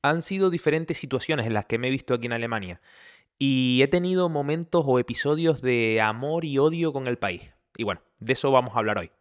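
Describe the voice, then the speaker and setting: A man's voice: medium pitch, expressive, fairly quick. A young man from the Canary Islands with a Canarian Spanish accent, hosting a casual podcast from home with chatty, joking asides. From Canary Islands, Spain. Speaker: podcast host. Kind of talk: casual chat. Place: at home, indoors.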